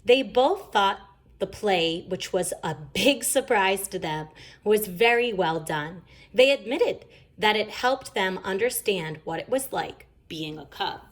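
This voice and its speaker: A woman reading a children's story aloud in an expressive, emphatic storytelling manner. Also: medium pitch, slow. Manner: animated, emphatic. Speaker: storybook reader.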